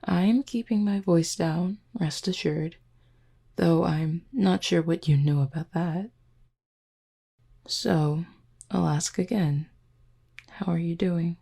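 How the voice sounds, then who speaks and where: A woman's voice: lowish voice, takes it slowly, long pauses, mellow, exaggerated voice. A woman playing a flirty, confident werewolf who teases her listener in an intimate roleplay audio drama. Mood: flirty, sincere. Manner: teasing, flirtatious, smooth-talking. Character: flirty, teasing, confident female werewolf pack beta.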